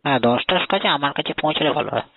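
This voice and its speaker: A man's voice: on the high side, expressive, racing, vocal fry, no echo. A man with a Bengali accent chatting casually and intimately on a phone call, asking questions in a coaxing way. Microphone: phone line. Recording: tinny narrowband phone call recording.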